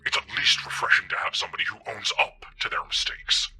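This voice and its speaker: A man's voice: sits low, quick pace, bright, forceful tone, slightly rough, voice pushed down, full character voice, poor audio. Man doing a seething, growling gang boss. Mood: cold, venomous. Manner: stern, curt, swearing. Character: seething gruff male gang boss in a gas mask. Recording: background music under the voice.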